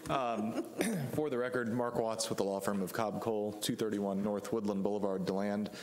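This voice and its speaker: Hoarse man in his forties, lowish voice, podium microphone in a council chamber, formal and measured with fillers. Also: fairly even intonation, darkish tone, a little hiss or hum. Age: 40s. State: hoarse from laryngitis. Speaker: attorney presenting an application. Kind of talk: formal public presentation.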